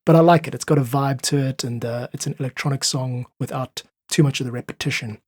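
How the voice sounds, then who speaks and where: Male podcast guest. Slightly husky voice, easygoing delivery, dry close sound. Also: mid-range voice, fairly even intonation, quick pace, darkish tone, no room sound. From South Africa. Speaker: podcast guest, independent musician. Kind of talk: casual interview chat.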